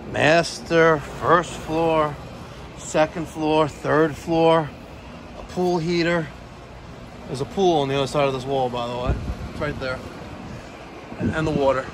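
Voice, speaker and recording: A man's voice: a little high, steady tone, unhurried, long pauses. A man in his forties, an HVAC technician, chatting casually to viewers while working on a service call. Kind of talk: first-person service call vlog. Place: outdoors beside AC units at a large house. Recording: background AC and outdoor noise.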